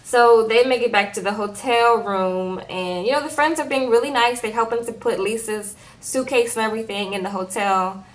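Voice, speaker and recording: A young woman recapping a reality show in casual, animated chat, often tagging her lines with "okay?". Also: on the high side, moderate pace, hardly any pauses, low-quality recording.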